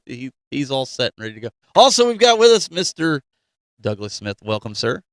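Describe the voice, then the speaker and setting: A man hosts an informal talk show. His voice sits at an average pitch, with a jokey, conversational delivery. The sound is dry, with no room around it. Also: sing-song, moderate pace, no room sound. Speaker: show host. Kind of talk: casual talk show banter.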